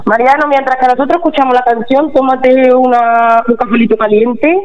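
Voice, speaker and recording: High-voiced woman, chatty co-host. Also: steady tone, normal speed. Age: 30s. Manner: chatty, familiar. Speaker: radio co-host. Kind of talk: casual chat. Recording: dull radio sound, faint music.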